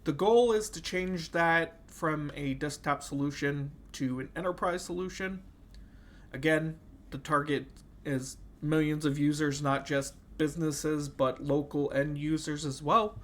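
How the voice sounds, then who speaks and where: A young man with a cold and a slightly nasal voice, giving a class project presentation with many fillers and pauses. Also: on the high side, fairly bright tone. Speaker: student presenting an assignment.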